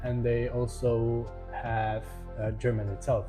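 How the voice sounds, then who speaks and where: A man explaining things as a guest in a casual chat, relaxed and with dry humor. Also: mid-range voice, unhurried, music in the background.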